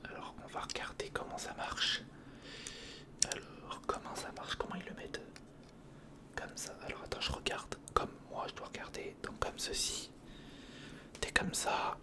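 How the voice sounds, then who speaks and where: A man whispers in a breathy, unvoiced ASMR voice, close to a sensitive mic. He talks informally and reassuringly, guiding the listener step by step through a hands-on build. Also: normal speed, long pauses. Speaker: ASMR video creator. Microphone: close sensitive recorder microphone.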